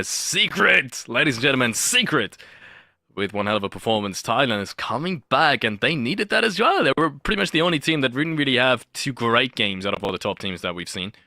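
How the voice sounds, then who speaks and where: A man commentates on an esports match in a bright, forceful voice with a very dry, close sound. He is emphatic and repeats phrases to drive points home. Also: mid-range voice, no echo. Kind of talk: live game commentary.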